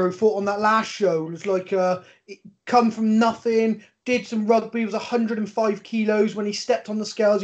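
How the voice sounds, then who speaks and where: A high-voiced Englishman in his thirties hosts a casual conversation. He is wordy and thinks aloud as he goes, on a somewhat dull, lo-fi recording. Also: darkish tone. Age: 30s. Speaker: podcast host, MMA coach. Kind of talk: casual chat. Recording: dull, lo-fi sound.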